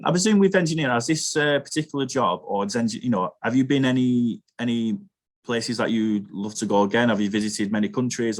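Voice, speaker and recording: A man interviewing a guest, asking eager, rambling questions. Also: on the low side.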